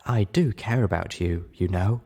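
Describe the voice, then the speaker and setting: A man voicing an adult female unicorn, refined, caring and forceful, in a storybook reading. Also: low voice, measured pace, creaky, husky, full character voice. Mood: tender. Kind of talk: audiobook character voice.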